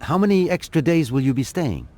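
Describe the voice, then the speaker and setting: An actor voicing a polite, obliging male innkeeper in an adventure game, formal and expressive in his manner. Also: on the high side, a little fast. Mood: courteous, obliging. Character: polite, obliging male village innkeeper, middle-aged or older.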